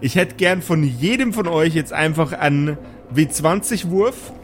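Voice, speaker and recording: A man's voice: on the high side, lively intonation, unhurried, soft background music. A man improvising narration as the game master of a tabletop role-playing audio play.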